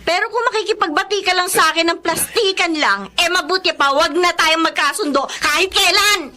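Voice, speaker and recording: Piercingly high, aggrieved young Filipino woman. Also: normal speed, pushed and bright. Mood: bitter. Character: long-suffering younger sister-in-law, aggrieved but fighting back. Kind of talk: melodramatic radio drama acting.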